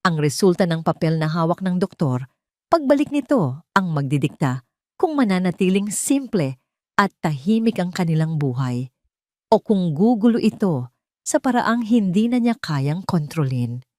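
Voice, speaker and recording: A woman's voice: on the low side, sing-song, normal speed, darkish tone, no echo, clean studio sound. A woman with a Filipino accent reading scripted, dramatic romance narration as an audio story narrator.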